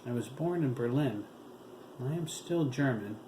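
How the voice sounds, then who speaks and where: A calm, dignified young boy, voiced by a male narrator who answers with quiet politeness. The delivery is unhurried. A roomy echo and a steady hiss surround the voice. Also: medium pitch, close to an everyday voice. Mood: polite.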